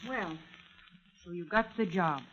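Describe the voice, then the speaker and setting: A bitter, weary woman in a 1940s suspense drama. Her voice is on the low side and her manner blunt and urgent. The old recording sounds muffled. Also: measured pace, fairly bright tone. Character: bitter, weary, warning woman who failed before. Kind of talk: 1940s radio acting.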